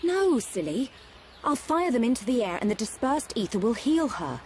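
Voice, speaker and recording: A woman's voice: fairly high pitch, sing-song, moderate pace, a little mellow, slight background noise. A woman playing an assured, caring young field medic in a video game, explaining things with confidence. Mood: amused. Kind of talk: video game cutscene acting.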